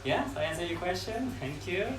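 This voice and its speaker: A man's voice: sits high, expressive. A man from Ecuador speaks earnestly to a live audience and lays out his points one by one. He is in his thirties, and the mic sits far from him. The room is echoey and there is noise around him. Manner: earnest, unhurried, lists ideas one by one. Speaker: speaker at a talk. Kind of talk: informal talk to a live audience.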